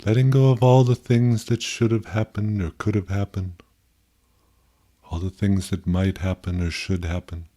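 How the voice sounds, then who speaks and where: A man leading a guided meditation in a gentle, contemplative way, with pauses and softly posed questions. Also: lowish voice, level tone.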